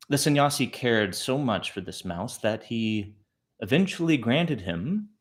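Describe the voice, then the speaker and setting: A man with a mid-pitched voice hosts a storytelling session. He narrates deliberately, breaking sentences into short phrases and pausing between them in the manner of a bedtime tale. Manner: deliberate, phrase-by-phrase delivery with pauses. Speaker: storyteller host.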